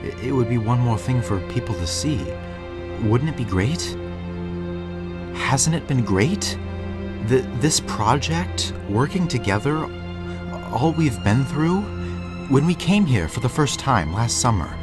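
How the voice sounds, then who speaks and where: A man's voice: mid-range voice, sing-song, a little slow. A man playing a stubborn, evasive character in an audio drama, with a hesitant, defensive manner. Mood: sheepish, pleading. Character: stubborn, evasive man obsessed with his projects. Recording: music behind the voice.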